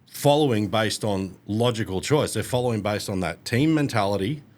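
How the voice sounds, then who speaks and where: Blunt man, low voice. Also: expressive, moderate pace, darkish tone. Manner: blunt, swears casually. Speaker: podcast host. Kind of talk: casual conversation.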